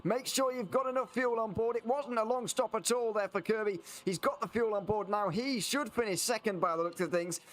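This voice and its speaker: A man's voice: sits high, a little fast, hardly any pauses, a bit bright. A man co-commentating a sim race live, hesitant with many fillers before he picks up the call.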